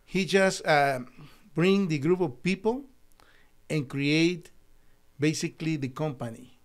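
A middle-aged man from El Salvador with a Spanish accent, telling his story as an interview guest in halting, earnest English in a small studio on a desk mic. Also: on the high side. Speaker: guest, naturopathic doctor. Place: small studio with foam wall panels. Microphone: desk podcast mic.

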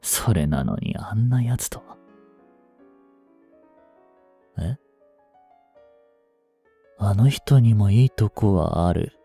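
A man acts out an obsessive, lonely young stalker in a voice drama. He speaks slowly in a dark, mellow tone, coaxing and unstable, as if right beside the listener. Faint music sits behind the close, dry voice. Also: lowish voice, animated, husky, full character voice. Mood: hurt, bitter. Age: 20s. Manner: soft, coaxing, brooding delivery with drawn-out pauses. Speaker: voice actor. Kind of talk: intimate Japanese voice drama, addressed to the listener.

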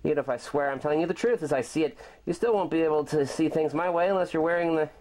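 A man giving an amateur dramatic reading of a science-fiction story, rambling and philosophical, with halting delivery. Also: fairly high pitch, a little mellow. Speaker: author performing his own audio drama. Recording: very noisy amateur home recording.

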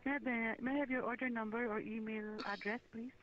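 High-voiced woman customer service agent. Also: steady tone, quick pace, muffled recording, noisy background. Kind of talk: customer service phone call.

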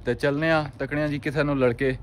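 Young man, casual village vlog, phone at arm's length, clear background noise, outdoors. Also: fairly high pitch, fairly even intonation, fairly quick. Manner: chatty, addresses viewers as friends. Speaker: vlogger. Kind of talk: casual vlog chat to camera. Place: outdoors in a village, fields and courtyard. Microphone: phone camera at arm's length.

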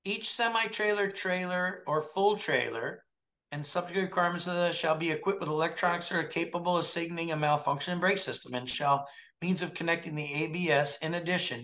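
Emphatic man coaching, bright voice. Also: fairly high pitch. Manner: emphatic, keeps checking in with "right?". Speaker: trucking compliance coach. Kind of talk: coaching review over a video call. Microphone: webcam video call.

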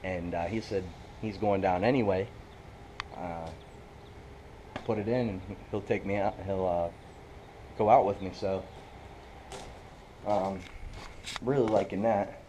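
A man's voice: deep voice, steady tone, a little slow, pauses often, dark tone, slight background noise. A young American man chatting casually to viewers as a fishing vlogger, informal and recorded outdoors on an action camera. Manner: informal, addresses viewers as guys. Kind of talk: casual vlog chat.

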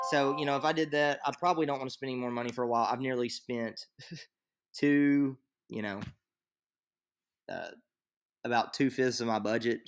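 A young man explaining a draft on screen in a casual, rambling way, full of fillers, recorded at his computer in a small room. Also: mid-range voice. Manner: rambling, filler-heavy, thinks aloud. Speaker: fantasy league tutorial maker. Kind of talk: casual screen-share tutorial.

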